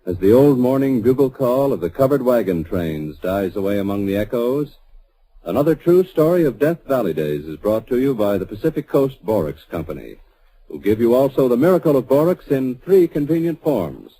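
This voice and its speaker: A man's voice: medium pitch, lively intonation, normal speed, warm tone, breathy edge, poor audio. A man announcing an old-time radio program in a formal manner. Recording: old radio broadcast recording.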